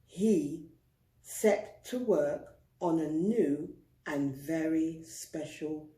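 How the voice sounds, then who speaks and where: A woman's voice: sits low, drawn-out words, warm tone. A woman storyteller reading expressively to young children, recorded in a roomy space. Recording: roomy echo.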